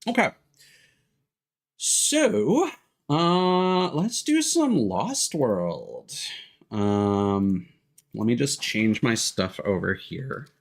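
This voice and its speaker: A man casually commentating on a livestream, thinking aloud in a rambling way with hesitant pauses. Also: mid-range voice, big pitch swings. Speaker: game livestreamer.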